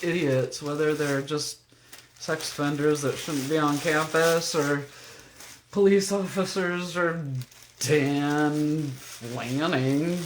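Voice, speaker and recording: An older woman with a deep voice, venting to her camera in a blunt, profane, rambling vlog. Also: a little hiss or hum. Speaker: vlogger. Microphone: camera mic.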